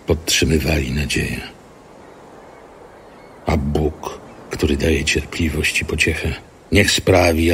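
A man's voice: low-pitched, a little hiss or hum. A man giving a solemn scripted reading of a scriptural letter. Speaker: scripture reader.

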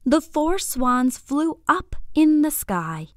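A woman narrating a scripted bedtime story for young children in an expressive, deliberate, clearly phrased way. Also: high-pitched, dry recording, studio quality.

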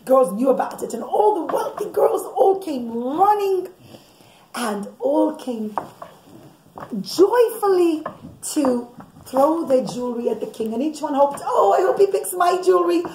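A woman's voice: sits high, animated, unhurried, darkish tone. A middle-aged woman telling a story to children, explaining as she goes and acting out what characters think. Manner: explanatory, addresses listeners as children, acts out characters' thoughts. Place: indoors, living or dining room at home. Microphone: camera or phone on the table, about a metre away. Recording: echoey room, background noise, clicks.

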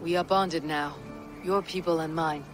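A man's voice: fairly high pitch, a little slow, over music, close to an everyday voice. A man playing a blunt, wry Viking warrior chieftain in a video game cutscene, speaking steadily and with confidence. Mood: warm. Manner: steady, declarative, dryly wry.

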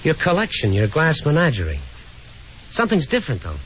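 Restless young man, lively pitch. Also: brisk, a little hiss or hum. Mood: gentle. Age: young adult. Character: restless, weary young son, sardonic and exasperated. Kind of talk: 1950s radio drama acting. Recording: dull 1950s radio broadcast.

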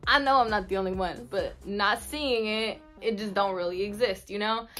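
A woman in her twenties, a native Spanish speaker, chatting casually to camera in an expressive, slangy way with Spanish words mixed in. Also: medium pitch, moderate pace. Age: 20s. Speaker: vlogger. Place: small bedroom indoors. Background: instrumental music.

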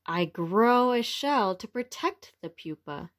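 A cheerful little storybook caterpillar as read by a woman. The delivery is slow and very expressive, with lively swings in pitch and simple wording for small children. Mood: slow, wondering. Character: cheerful young caterpillar becoming a butterfly, storybook narrator.

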